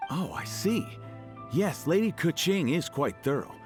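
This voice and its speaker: An actor playing an earnest, dutiful town guard in a video game, polite, formal and reassuring. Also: male voice, fairly high pitch, lively intonation, measured pace, soft background music. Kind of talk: video game story dialogue.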